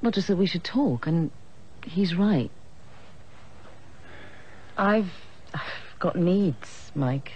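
A middle-aged woman acting a troubled married teacher in a television drama, speaking with an English accent, stammering, breathy and hesitant. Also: lowish voice, expressive, drawn-out words, pauses often, a little mellow, highs cut off, a little hiss or hum. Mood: hushed. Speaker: teacher in a soap opera.

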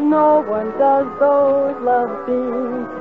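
A woman singing a slow 1940s ballad as a guest on a radio show. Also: high voice, loud music behind the voice. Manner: slow crooning. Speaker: guest singer. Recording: tinny 1940s radio broadcast with orchestra.

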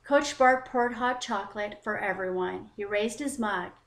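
A woman hosting a children's story time, narrating in an expressive, deliberate read-aloud style. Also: medium pitch. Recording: roomy, echoing voice recording.

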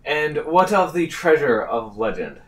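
A man's voice: a little high, sing-song, a little slow, fairly bright tone, voice pushed up. A man voicing a pompous little slime king in a tabletop game, with formal, grandiose speech. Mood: stern, formal. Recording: distant mic, faint noise.

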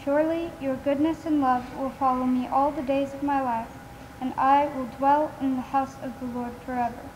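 A woman playing a devout mourner reciting scripture in a solemn, measured way in a stage play. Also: high-pitched, steady tone, darkish tone, natural voice. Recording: faint noise, distant theater stage recording.

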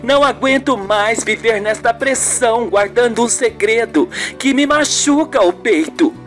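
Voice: medium pitch, measured pace, natural voice. A performer voicing a passionate, anguished woman in love in a radio soap opera, earnest and melodramatic. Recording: music behind the voice.

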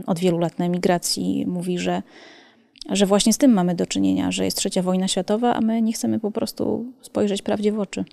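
Gravelly-voiced woman podcast host. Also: medium pitch, dark tone, dry recording. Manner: probing, conversational questions. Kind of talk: interviewing. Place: small studio. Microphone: studio microphone on arm.

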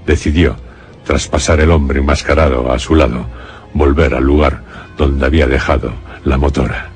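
A man narrating a radio horror drama as a grave storyteller, solemn and deliberate, with a Castilian accent. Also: a bass voice, steady tone. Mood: grave suspense. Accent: Castilian Spanish. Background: music.

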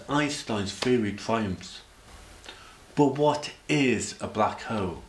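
A man of about forty from Sheffield, reciting rhyming spoken-word science poetry deliberately, with dramatic pauses. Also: medium pitch. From Sheffield, England. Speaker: presenter. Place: indoor room, speaking to camera. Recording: echoey room.

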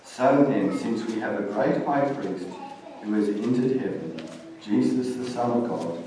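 A middle-aged man preaching a sermon and reading scripture in a measured, plain-spoken way. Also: lowish voice, warm tone, a little hiss or hum. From Australia. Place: church stage, indoors. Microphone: stand mic at lectern, heard from far camera. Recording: distant, very echoey church hall recording.

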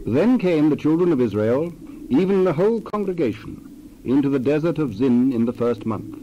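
A man narrating a dramatized scripture passage in a steady storytelling way. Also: a little high, moderate pace, lo-fi sound, slight background noise.

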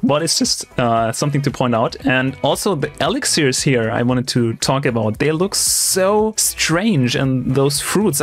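Enthusiastic young man giving casual commentary. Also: medium pitch. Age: 20s. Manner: amused, enthusiastic. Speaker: host. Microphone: close studio mic on arm, headset on.

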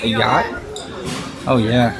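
A man's voice: mid-range voice, fairly even intonation, takes it slowly, crowd noise. A young man chatting upbeat on a travel vlog aboard a cruise ship, filmed on a handheld camera. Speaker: vlogger. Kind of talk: casual travel vlog chat. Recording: background music over the voice.

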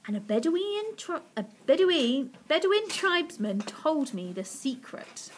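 A woman voicing a shy, lovesick gentleman in a children's story, speaking politely and solemnly. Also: high voice, big pitch swings, unhurried, slight background noise, pitched above the performer's own voice. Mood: scheming, earnest.

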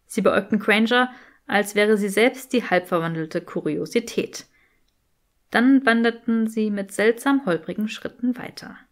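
Woman narrating an audiobook, medium pitch. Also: a little slow. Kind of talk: scripted fan fiction narration.

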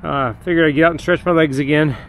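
A man's voice: on the high side, steady tone, fast, fairly bright tone, a little hiss or hum. A middle-aged man casually narrating his metal detecting outdoors in parks, thinking aloud with many fillers, recorded on an action camera. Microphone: action camera held or chest-mounted.

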